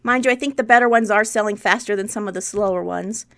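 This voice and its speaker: A woman's voice: medium pitch, fast, a bit bright. A woman in her forties giving casual, unscripted explanatory narration for a vlog, thinking aloud as she goes. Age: 40s. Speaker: part-time online reseller, video host.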